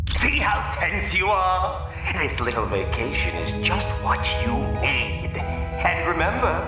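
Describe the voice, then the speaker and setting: An actor playing a flamboyant, gleeful theme-park supervillain in a video game, theatrical, mock-friendly and taunting. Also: male voice, high-pitched, heavily acted. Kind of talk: video game villain acting. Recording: echoing, distant voice under loud music.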